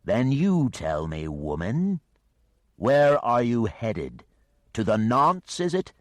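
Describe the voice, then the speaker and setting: A man's voice: medium pitch, big pitch swings, takes it slowly, no room sound, pitched above the performer's own voice. A man voicing a huge male dragon that is courteous yet threatening, in a read-aloud story. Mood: coaxing. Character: huge menacing male sea dragon, mock-polite.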